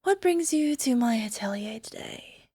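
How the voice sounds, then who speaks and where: A woman's voice: on the high side, expressive, a little slow, dark tone, breathy edge. A woman playing a bubbly young alchemist who turns obsessively lovestruck, in a hesitant, giggly ASMR roleplay manner that slips into whispers. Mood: giddy, flustered. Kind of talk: ASMR girlfriend roleplay audio drama.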